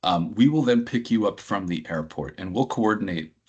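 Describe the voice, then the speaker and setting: A middle-aged man from New Hampshire with a very low, husky voice walks listeners through a study program. He talks conversationally, leaning on frequent ums and uhs as he explains. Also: animated, moderate pace, a little mellow, dull audio. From New Hampshire, USA. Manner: filler-heavy, conversational explaining. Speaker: program director and anthropologist. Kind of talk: information session presentation.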